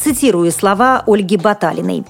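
A woman reads the news as a studio presenter, with mid-range pitch and formal, measured diction. Faint music plays along with her scripted delivery. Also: animated, vocal fry.

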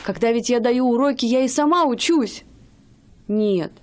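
An actress in a radio play voicing a young wife and music student, eager, affectionate and doting. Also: female voice, a little high, moderate pace, darkish tone, natural voice. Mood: tender. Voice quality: gushing, affectionate.

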